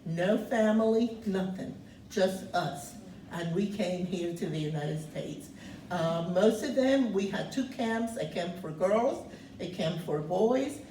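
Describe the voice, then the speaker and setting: Woman in her 70s, loud and earnest personal testimony, mid pitch, mic set back in a roomy space. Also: slight background noise. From Cuba. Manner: speaks loud, earnest, pauses on many fillers. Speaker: guest speaker sharing her refugee story. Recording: roomy, distant mic, faint ambience.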